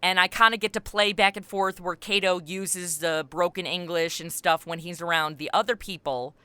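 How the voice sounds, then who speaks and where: Woman, interview guest, bright voice, dry recording, animated storyteller, conversational. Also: on the low side, normal speed, clear, resonant tone, no room sound. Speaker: podcast creator and writer, interview guest.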